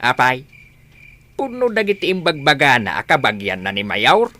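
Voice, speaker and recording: A man acting a meddling, excitable old village father in an Ilocano radio comedy drama, with drawn-out exclamations and an Ilocano accent. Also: mid-range voice. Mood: scheming, chatty.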